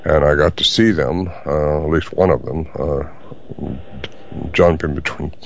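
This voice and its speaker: An older man answering interview questions in a halting way, with many fillers. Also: very deep voice, steady tone, a little hiss or hum. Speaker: guest. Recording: dull lo-fi audio.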